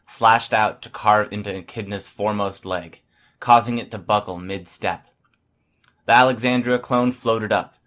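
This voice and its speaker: A man's voice: fairly low pitch, a bit bright. A man reading scripted first-person fiction as an audiobook narrator.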